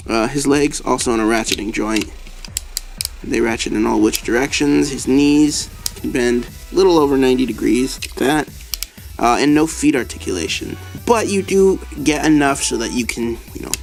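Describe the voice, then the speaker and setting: A young man with a raspy voice from a cold, chatting casually as he reviews a toy. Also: medium pitch. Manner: casual, chatty, with filler ums and laughs. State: hoarse from a cold.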